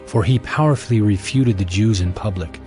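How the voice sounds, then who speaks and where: A man narrating scripted audio Bible scripture as a measured, solemn storyteller. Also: lowish voice, mellow, faint music behind.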